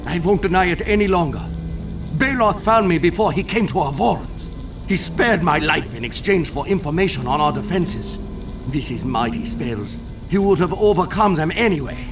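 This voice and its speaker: Man acting a high-voiced fantasy clansman, formal. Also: animated, moderate pace. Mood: bitter, defiant. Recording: distant mic under music.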